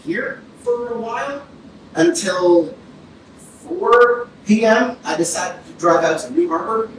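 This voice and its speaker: A woman's voice: a little high, lively intonation, slow. A woman playing a troubled, guarded man answering police questions hesitantly in a staged play reading. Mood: uneasy. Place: arts centre theatre. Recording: echoey theatre, distant mic, room noise.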